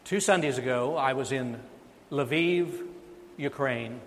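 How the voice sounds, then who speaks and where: A man's voice: mid-range voice, slow, slight background noise. A male pastor preaching a sermon, emphatic and rising in volume on key points. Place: church sanctuary. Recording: long reverb of a church sanctuary.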